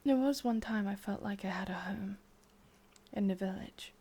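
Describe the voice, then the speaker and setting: A woman with an English accent voicing a sadistic, lovesick witch in a whispered, intimate ASMR roleplay, murmuring slowly and haltingly. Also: mid-range voice, mellow. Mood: wistful, melancholy. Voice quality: breathy, whispery. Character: sadistic, lovesick young witch, wistful and possessive.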